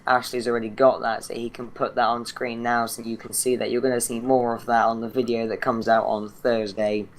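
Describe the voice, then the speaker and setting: A teenage boy with a British accent and a croaky, hoarse throat chats loosely about his gaming channel. He rambles, stalls on ums and restarts, and his pitch barely moves, staying flat throughout. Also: a little fast, slight background noise. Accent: British English. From Britain. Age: teens. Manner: rambling, chatty, many fillers and restarts. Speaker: channel host. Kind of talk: casual gaming channel intro.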